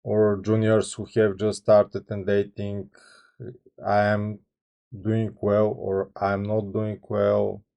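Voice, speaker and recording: A man in his thirties with a Bulgarian accent, a software engineer talking to camera without a script, hesitant, with many ums and restarts. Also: lowish voice, completely flat delivery, takes it slowly, gravelly. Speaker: software engineer channel host. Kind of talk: unscripted talk to camera.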